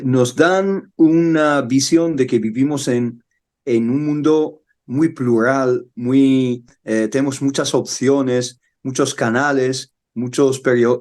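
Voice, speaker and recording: A man's voice: mid-range voice, a little mellow. A man talking as a guest in a conversational interview, often closing his points with a short checking question. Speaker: podcast guest, humanitarian worker.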